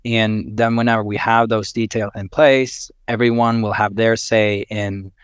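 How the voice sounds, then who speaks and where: A man's voice: on the low side, level tone, a little slow, clean tone, no echo. Swedish-born man explaining methodically. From Sweden. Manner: methodical, filler-laden explaining. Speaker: company CEO. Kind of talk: panel podcast discussion. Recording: dull dry lo-fi sound.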